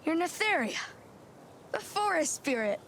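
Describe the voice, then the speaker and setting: A woman's voice: extremely high pitch, very animated, unhurried, fairly bright tone, a little hiss or hum, full character voice. A woman voicing an earnest, imaginative young boy in a video game, with a childlike, eager manner. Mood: awed.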